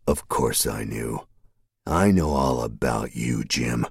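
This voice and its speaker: A man voicing a slow menacing cult leader. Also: very deep voice, slightly rough, no room sound, clean studio sound, pitched below the performer's own voice, full character voice. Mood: sinister, coaxing. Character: sinister manipulative male cult leader.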